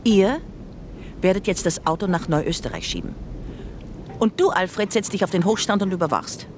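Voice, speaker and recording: Herrische, tiefe Verschwörungsanführerin mit Wiener Hörspielton. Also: female voice, lowish voice, big pitch swings, moderate pace, a little mellow, a little hiss or hum. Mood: commanding. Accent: Austrian. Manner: stern, formal, earnest, imperious. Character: commanding, conspiracy-believing wife leading a separatist group. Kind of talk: radio drama acting.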